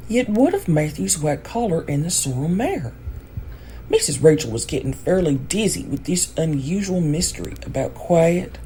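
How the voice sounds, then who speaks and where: A woman's voice: low-pitched, mellow, slight background noise. A woman reading a classic storybook aloud as scripted audiobook narration. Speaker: audiobook reader.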